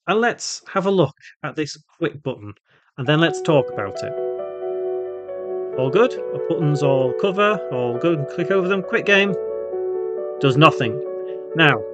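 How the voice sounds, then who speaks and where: A man hosting an informal step-by-step software tutorial, thinking aloud as he works through it. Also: on the high side, no echo. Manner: thinks aloud while working, spelling out code symbol by symbol. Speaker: tutorial host, game development instructor.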